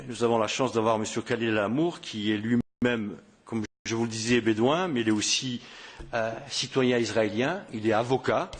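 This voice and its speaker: A man hosting a press conference, speaking in a halting way with many fillers and repeated words. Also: mid-range voice. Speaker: press conference host, member of parliament. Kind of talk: hesitant spoken welcome speech. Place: press room.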